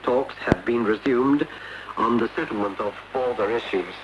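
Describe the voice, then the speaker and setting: A male news announcer delivers a formal bulletin over old shortwave radio. His mid-pitched voice comes through muffled, with clear static and clicks around it. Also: normal speed.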